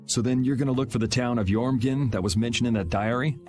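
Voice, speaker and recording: A man's voice: mid-range voice, fast, music in the background, natural voice. A man playing a cool young swordsman in video game dialogue, dry, nonchalant and teasing.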